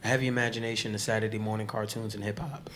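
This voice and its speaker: A hoarse man talks in a relaxed, slangy way, full of fillers and asides. His voice sits low and has gone rough from overuse. A faint hiss runs underneath. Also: rapid-fire. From the Bronx, New York. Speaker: podcast guest. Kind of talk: casual conversation.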